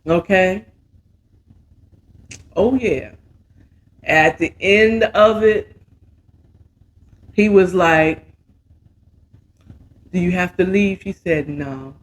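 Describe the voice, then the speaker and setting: An American man hosting a show, casually retelling a romance story with dramatic, sassy asides and drawn-out exclamations. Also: high-pitched. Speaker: host of a story recap show.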